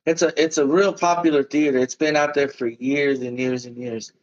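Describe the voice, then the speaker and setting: A man's voice: medium pitch, steady tone, normal speed, dull audio. A musician guest in a casual interview, speaking in loose run-on sentences and calling the host "man" and "bro".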